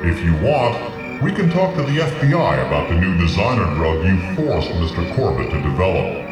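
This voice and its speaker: A man's voice: deep voice, sing-song, moderate pace. Man playing a brash, blunt general, roomy sound. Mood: threatening. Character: brash, cigar-smoking Air Force general, shady and commanding. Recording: roomy, distant voice under music.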